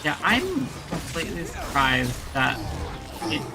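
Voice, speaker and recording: A man's voice: on the high side, very animated, slow pace, gravelly, loud music behind the voice. A man giving casual, reactive commentary as he plays a video game. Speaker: streamer playing and reacting to a game.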